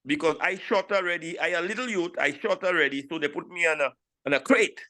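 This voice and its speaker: A man in his sixties with a St. Lucian accent, telling his story casually as an interview guest, animated and repeating phrases for emphasis. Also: fairly high pitch, brisk. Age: 60s. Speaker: interview guest, steel pan player.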